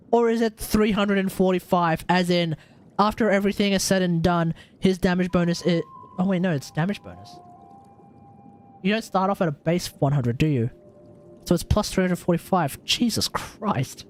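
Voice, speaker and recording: A game streamer chatting casually and rambling through builds in an incredulous, sweary way. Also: mid-range voice. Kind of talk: casual livestream chat about game builds.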